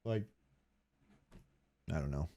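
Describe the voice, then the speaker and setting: A man's voice: extremely low pitch, animated, takes it slowly, mellow, no echo. A man co-hosting a casual gaming podcast, chatting informally and giving opinions freely. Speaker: podcast co-host.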